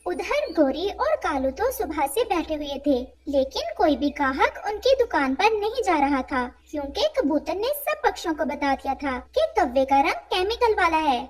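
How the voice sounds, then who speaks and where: A woman's voice: extremely high pitch, very animated, normal speed, hardly any pauses. A woman reading scripted narration for a children's story as its narrator.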